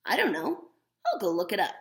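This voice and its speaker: A woman voicing an eager, curious young boy from a picture book, exclaiming with excitement. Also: mid-range voice, a little fast.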